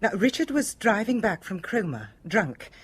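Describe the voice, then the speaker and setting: A woman's voice: mid-range voice, sing-song, normal speed, breathy edge. A woman in a radio drama playing an anxious, genteel British wife, well-spoken and hesitant. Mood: tense, recounting.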